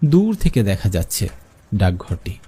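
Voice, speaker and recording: A man's voice: sits low, very animated, a little slow, mellow, husky, exaggerated voice. A man playing an earnest postmaster, narrating his own ghost story expressively in a scripted cartoon. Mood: observant, uneasy. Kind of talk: first-person scripted cartoon horror narration. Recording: music behind the voice.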